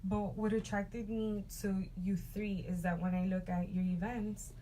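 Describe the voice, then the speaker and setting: A woman's voice: mid-range voice, flat delivery, a little slow, dark tone, slight background noise, poor audio. A woman hosting a talk show, speaking upbeat and conversational, with frequent ums and likes. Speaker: host.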